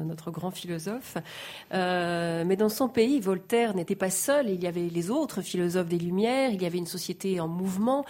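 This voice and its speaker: A woman's voice: on the low side, darkish tone. A woman interviewer asking long, formal questions full of small hesitations.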